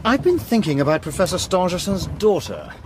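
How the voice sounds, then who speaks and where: An actor playing a fussy, prim and easily offended gentleman in a period radio drama, speaking formally and huffily. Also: sits high, very animated, brisk, noisy background.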